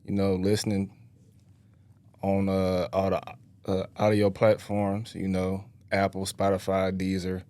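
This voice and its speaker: A man in his thirties co-hosting a podcast in relaxed, slangy casual chat, trailing off with fillers. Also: deep voice, a little slow. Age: 30s. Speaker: podcast co-host.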